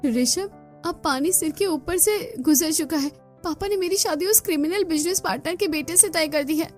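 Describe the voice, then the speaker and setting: Female actor as young runaway bride: high, emotional, pleading yet determined, audio drama with faint music. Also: moderate pace. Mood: defiant, urgent. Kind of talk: dramatized Hindi audio story acting.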